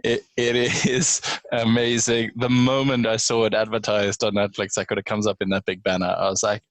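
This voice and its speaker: A man's voice: on the low side, little pitch movement, normal speed, no room sound. A man hosting a casual podcast chat in an irreverent, wry way, with some casual swearing. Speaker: podcast host.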